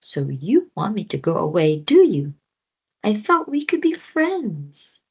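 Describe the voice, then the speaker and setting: A woman's voice: mid-range voice, very animated, slow, a little mellow, husky, muffled recording, voice pushed up, heavily acted. A woman voicing a lonely, curious young male gorilla in a children's storybook, questioning with childlike eagerness. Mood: hurt, hopeful. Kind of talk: children's storybook read-aloud.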